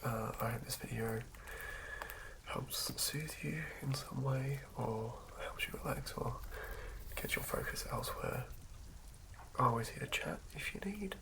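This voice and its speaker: A man doing a soothing ASMR reading of fantasy game lore in a hushed, breathy whisper, occasionally stumbling over words. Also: medium pitch, a little slow, long pauses. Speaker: ASMR host. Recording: lo-fi recording with clear background noise.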